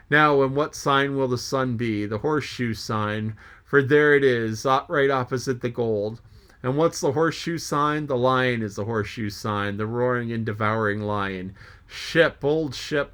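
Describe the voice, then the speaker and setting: A man's voice: medium pitch, fairly bright tone, clear, resonant tone, close to an everyday voice. A man doing a jolly rambling sea mate. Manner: rambling, chuckling, exclaiming to himself. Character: jolly joking middle-aged male sea mate.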